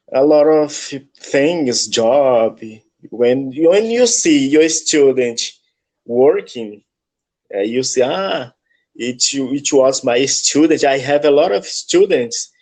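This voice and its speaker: A man with a Brazilian Portuguese accent who speaks Portuguese natively, halting and searching for words as a student in an online English lesson over a video call. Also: mid-range voice, darkish tone, low-quality recording.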